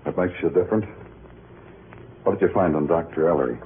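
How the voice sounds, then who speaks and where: An actor playing a world-weary, hard-boiled New York police detective with a dry, terse, wry manner in old radio noir. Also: male voice, low-pitched, fairly quick, a little hiss or hum, close to an everyday voice. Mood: terse, grim. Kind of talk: 1950s radio noir acting and narration. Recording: tinny old radio recording, music behind.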